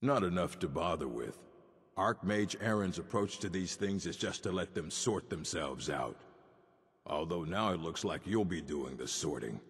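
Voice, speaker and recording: Very deep, husky old orc. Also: male voice, expressive, heavily acted. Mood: dry, measured. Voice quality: deep, gravelly rasp. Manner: measured, curt, dry. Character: gruff old male orc librarian, stern and learned. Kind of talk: video game dialogue acting. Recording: long reverb of a stone hall.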